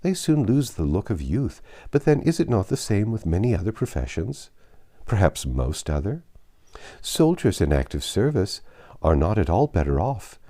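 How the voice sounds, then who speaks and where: Guy reading a coaxing lady in an old novel, placating, soft mellow tone. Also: on the low side, very animated, moderate pace. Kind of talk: audiobook character reading.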